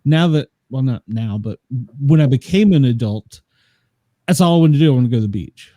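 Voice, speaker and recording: A man hosting a casual interview, chatty and quick to laugh. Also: mid-range voice, animated, dark tone, no echo. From Washington, D.C.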